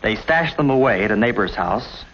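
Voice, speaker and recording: A man's voice: fairly low pitch, expressive, moderate pace. An American man reading scripted narration in the style of a 1950s promotional film. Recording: dull vintage film soundtrack with music. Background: background music.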